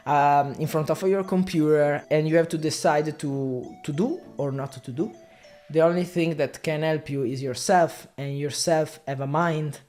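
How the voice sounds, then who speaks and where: A man's voice: on the high side, steady tone, measured pace, darkish tone, soft background music. A man from Italy with an Italian accent, a native Italian speaker talking casually to camera in non-native English, often stopping and restarting as he explains his work as a media buyer. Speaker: digital marketing media buyer.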